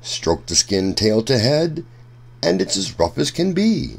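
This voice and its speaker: A man reading a rhyming children's book aloud in a deliberate, sing-song storytelling manner. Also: on the low side, very animated, a little mellow, slightly rough.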